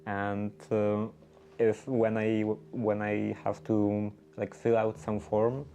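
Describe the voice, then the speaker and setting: Slow, flat-voiced man. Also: on the low side. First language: Polish. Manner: hesitant, searching for words. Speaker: livestream host. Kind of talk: casual livestream chat while knitting.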